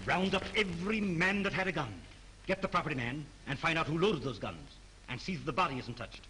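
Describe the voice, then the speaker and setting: A brisk, authoritative film director, with a bright tone and lively pitch. Faint hiss lies under the 1930s soundtrack. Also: male voice, poor audio. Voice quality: curt, authoritative orders. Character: brisk male film director, curt and in charge.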